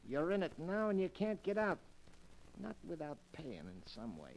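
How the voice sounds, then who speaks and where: An actor playing a callous, menacing small-time burglar who talks in tough slang and clipped commands, in a 1940s radio crime drama. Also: male voice, on the high side, wildly swooping pitch, normal speed, darkish tone. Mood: threatening. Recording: noisy vintage 1940s radio recording.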